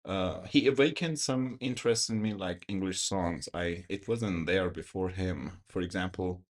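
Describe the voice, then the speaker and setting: A man's voice: deep voice, moderate pace. A man in his twenties, a podcast guest, who speaks reflectively while telling stories from his past in a casual chat. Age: 20s. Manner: reflective, storytelling. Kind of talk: casual conversation.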